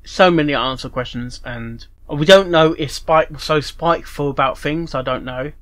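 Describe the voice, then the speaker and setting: A reaction video host giving casual commentary, halting and often repeating phrases. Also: medium pitch, fairly bright tone.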